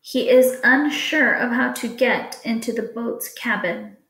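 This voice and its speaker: A woman with a fairly high voice reads test questions aloud as a teacher would. Her delivery is very slow, deliberate and instructional. The room around her adds a clear echo. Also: fairly bright tone. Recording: echoey room.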